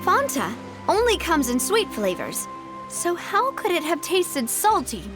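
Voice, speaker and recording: A woman's voice: very high voice, huge pitch swings, a little slow, music in the background, exaggerated voice. A woman playing a proud, determined young noblewoman in a video game, with pointed, polished, theatrical phrasing. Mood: explaining.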